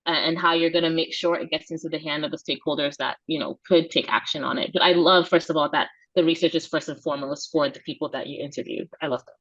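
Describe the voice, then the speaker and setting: A woman's voice: low-pitched, steady tone, fast, hardly any pauses, a bit bright, dry recording. A woman moderating a webinar casually and effusively, with an informal way of talking. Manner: informal, effusive, frequent "like" and "you know". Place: online webinar. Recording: dry, dull webinar audio.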